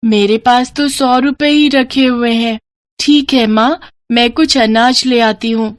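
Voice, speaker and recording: A woman voicing a poor young girl in a cartoon story, high and childlike, often pleading and sobbing. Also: steady tone, a little slow, clean tone, natural voice.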